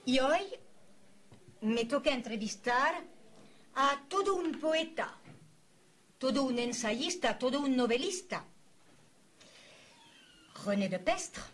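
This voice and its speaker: Woman interviewer, noisy room ambience, somewhat high pitch, formal scripted introduction, florid literary wording, solemn and deliberate. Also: expressive, a bit bright. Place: university event recorded for a filmed programme. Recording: clear background noise, room ambience.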